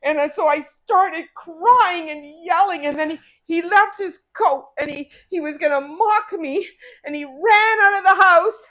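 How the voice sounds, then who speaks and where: A woman voicing a scheming grown woman who wails and pleads in a shrill, loud voice. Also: steady tone, takes it slowly, few pauses, muffled recording. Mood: wailing.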